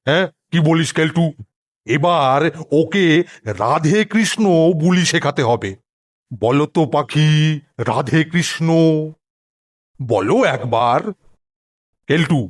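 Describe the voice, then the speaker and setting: A man voicing a fussy, bossy schoolmaster in a cartoon, full of questions and exclamations. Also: a little high. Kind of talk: cartoon voice acting.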